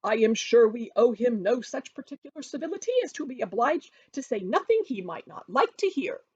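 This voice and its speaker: A woman's voice: fairly high pitch, big pitch swings, a little fast, a little mellow, slightly rough, no room sound, dull audio, voice pushed up. A woman voicing a gushing, boastful middle-aged mother in a Regency storybook, effusive and exclaiming, with stretched, emphatic words. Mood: indignant.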